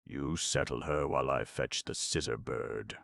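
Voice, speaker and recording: A man's voice: rumbling low, unhurried, gravelly, fairly bright tone, no echo, exaggerated voice. A man voicing a pompous, fussy old male advisor who reads out lists severely and complacently. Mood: sly. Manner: severe, complacent, voice rising per item.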